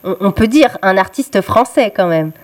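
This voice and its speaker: A young woman hosts a radio interview at a middle pitch. She hesitates often and fills her pauses with drawn-out filler sounds as she shapes her questions. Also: lively intonation. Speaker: radio host.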